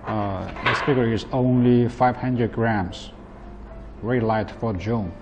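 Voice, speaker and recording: A man in his thirties, a non-native English speaker, giving a plain, halting, step-by-step product demonstration. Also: medium pitch, fairly even intonation, highs cut off, soft background music. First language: not English. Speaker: product presenter. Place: indoor office at a table.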